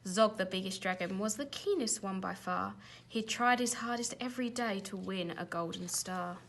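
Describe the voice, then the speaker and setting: A woman teacher reading a children's storybook aloud in an animated, expressive way. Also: mid-range voice, moderate pace, vocal fry, a little hiss or hum.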